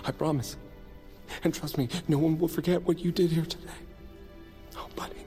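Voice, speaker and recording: An actor playing a goofy, excitable young man, a reluctant hero in a comedic action audio drama, who yelps, whines and wisecracks. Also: a little high, moderate pace, in a large hall, faint music behind, lightly acted. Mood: earnest. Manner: yelping, whiny, wisecracking.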